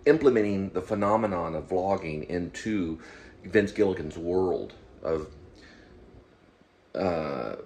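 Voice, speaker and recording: A man hosting his own online show, giving rambling, unscripted commentary in a halting, digressive way, as if thinking aloud. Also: deep voice, a little mellow. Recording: clear background noise.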